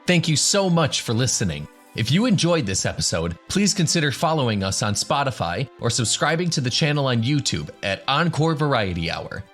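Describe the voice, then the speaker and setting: A man's voice: mid-range voice, big pitch swings, normal speed, fairly bright tone, faint music behind. A man narrating a scripted fantasy radio drama. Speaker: narrator, game master.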